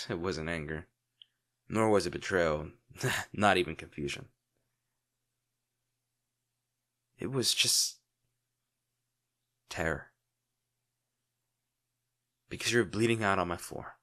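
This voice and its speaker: A man playing a crime-boss villain in an intimate audio roleplay, secretly tender and worried, speaking sardonically with dramatic pauses. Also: low voice, pushed and bright, full character voice. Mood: tender, shaken.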